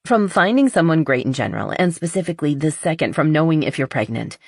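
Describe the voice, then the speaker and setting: A woman voicing a blunt, honest, skeptical but loyal best friend in an audiobook, with a dry teasing manner and husky voice. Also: low-pitched, very animated, fast, a little mellow, dry recording, full character voice. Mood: frank.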